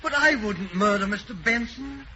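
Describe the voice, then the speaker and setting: Guy putting on a scared old butler voice, super high and pleading, old radio sound. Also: expressive, normal speed, sharp tone, a little hiss or hum. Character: timid old male butler pleading innocence. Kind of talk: 1940s radio acting. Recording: dull old radio broadcast.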